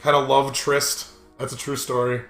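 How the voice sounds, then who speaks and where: A man's voice: mid-range voice, level tone, moderate pace, fairly bright tone. A young American man rambling emphatically and profanely in casual storytelling as he co-hosts a game playthrough. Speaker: co-host of a video game playthrough. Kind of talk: casual commentary and personal storytelling. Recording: roomy echo, faint music.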